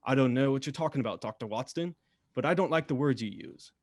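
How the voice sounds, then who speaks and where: A man's voice: medium pitch, fast, no echo, studio quality, lightly acted. A male actor in a radio drama playing a proud, earnest young bridegroom who speaks firmly. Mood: defiant.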